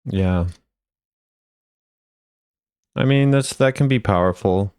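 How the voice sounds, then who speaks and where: A man with a smooth, fairly low voice gives casual commentary as a gaming video host. He thinks aloud in a laid-back, unscripted way. The sound is close and dry. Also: moderate pace, a little mellow, dry recording. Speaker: video host ranking game voice lines.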